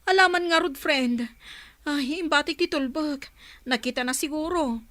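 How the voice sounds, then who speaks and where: Worried grown daughter, very high voice, close dry radio drama sound. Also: female voice, moderate pace, fairly bright tone, no echo. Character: anxious grown daughter searching for her missing mother.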